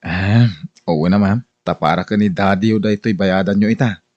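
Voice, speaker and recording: A man's voice: deep voice, moderate pace, darkish tone, muffled recording, close to an everyday voice. A man acting a hard-pressed working husband and polite bill collector in an Ilocano radio drama, speaking earnestly and conversationally.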